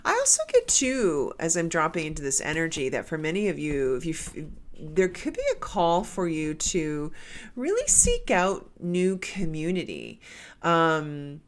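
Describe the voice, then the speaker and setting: A woman's voice: low-pitched, huge pitch swings, measured pace. A woman doing a casual spiritual card reading, her nose stuffed up from a cold, talking in a meandering way with many restarts. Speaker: tarot card reader.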